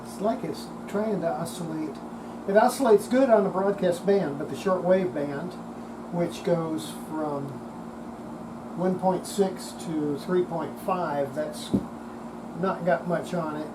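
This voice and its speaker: Casual workshop demonstrator, mid-range voice, thinking aloud, plainspoken, steady noise behind. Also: medium pitch, takes it slowly. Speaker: radio repair demonstrator. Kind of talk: casual hands-on workshop commentary.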